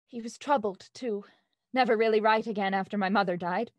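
An actress playing a genteel young heiress in grief, speaking in a hesitant, polite way in an audio drama. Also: female voice, medium pitch, no room sound, close to an everyday voice. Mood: halting grief. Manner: halting, soft-spoken, polite.

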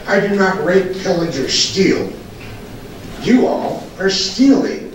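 A man's voice: sits high, animated, slow pace. A retired older man giving an angry, sarcastic public comment at a county board meeting. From England. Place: large council chamber. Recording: echoey hall from far off, noisy.

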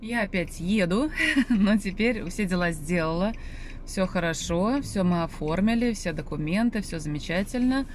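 A woman's voice: mid-range voice, animated, moderate pace. A woman in her forties from Russia chatting casually to her viewers from her car, rambling with many fillers and restarts. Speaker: vlogger. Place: inside a parked car, and walking outdoors. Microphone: phone camera on the dashboard. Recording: loud car and street noise.

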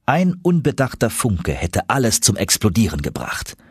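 A man's voice: fairly low pitch, wildly swooping pitch, normal speed, slightly rough, no room sound, studio quality. A man narrating an adventure audiobook in a dramatic, scripted storytelling style.

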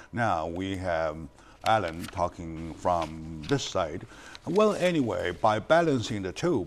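An older man hosts a studio talk show, animated and addressing the audience with many fillers. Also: low-pitched. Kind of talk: studio interview. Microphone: lapel mic.

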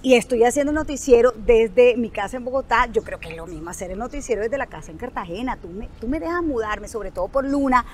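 A woman's voice: on the high side, fast, few pauses. A woman in her thirties with a Colombian Caribbean coast accent, telling stories animatedly as an interview guest outdoors. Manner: expressive, acts out dialogue. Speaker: guest, news anchor. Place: outdoor resort terrace.

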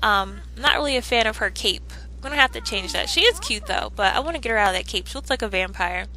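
A woman's voice: medium pitch, lively intonation, quick pace, bright, forceful tone, poor audio. A woman chatting casually through a life-sim game playthrough, upbeat and rambling with fillers, her throat slightly ticklish from a coming cold. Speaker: let's play host. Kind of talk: casual gameplay commentary.

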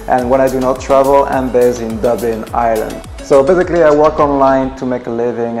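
A man's voice: medium pitch, a little mellow. A man in his late twenties with a French accent, from Ivory Coast, telling his personal story to camera from his living room in an earnest, reflective way with many fillers. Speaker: travel blogger. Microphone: camera at desk distance.

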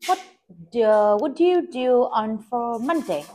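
A woman teacher with a Vietnamese accent runs a speaking quiz, patient and calm. She asks short drill questions and gently corrects mistakes. Her voice comes from off-camera. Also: on the high side, a little slow. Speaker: English teacher. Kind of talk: one-on-one speaking test with a child.

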